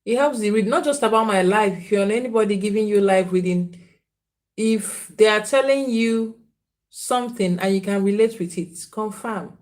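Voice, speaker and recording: A woman's voice: mid-range voice, normal speed, a little mellow. A woman giving spiritual readings on a live stream, repeating names and phrases and earnestly scolding viewers. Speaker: spiritual reader.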